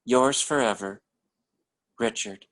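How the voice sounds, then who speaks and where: A man acting in a 1940s-style radio drama as an earnest, lovesick young oil surveyor, pleading and halting as he reads out his sentimental love letters. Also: mid-range voice, fairly bright tone, faint music behind.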